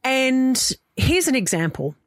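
A woman's voice: sits high, very animated, slow, no echo. A woman in her early forties with an Australian accent, chatting casually as a radio breakfast co-host. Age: early 40s.

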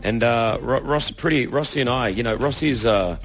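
A man's voice: mid-range voice, moderate pace, soft background music. A man hosting a prank call segment with casual, chatty, stop-start banter. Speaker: prank call show host.

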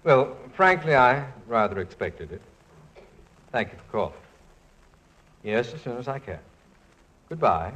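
A middle-aged American college president in an old radio play. His pitch is medium and swings expressively as he speaks in wordy, pedantic phrases with dry wit and affection. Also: sing-song, a little slow, pauses often, fairly bright tone, a little hiss or hum, rough recording. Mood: resigned, calm. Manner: pedantic, wordy, dryly humorous. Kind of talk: 1940s American radio comedy-drama acting.

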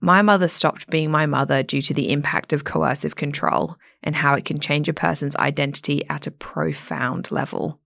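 A woman narrates a first-person story with a gravelly, fry-edged voice. Her delivery is serious and measured, recorded close in a very dry space. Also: deep voice, steady tone, a bit bright, no echo. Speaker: reader of an anonymous submission.

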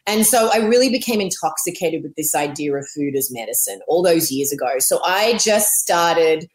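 Australian woman guest, 40s, lower voice, animated storyteller who laughs easily. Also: moderate pace. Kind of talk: casual interview.

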